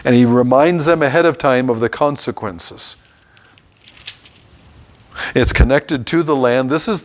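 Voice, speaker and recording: A man teaching a Bible class, reading scripture passages aloud and stopping to explain them in an everyday way. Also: medium pitch.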